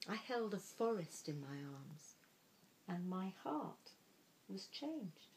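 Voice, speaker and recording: A woman storyteller narrates a picture book at a slow pace in a mid-pitched voice. Her delivery is expressive and deliberate, with some phrase endings softened into a hush. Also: slight background noise, poor audio. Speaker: storyteller reading aloud.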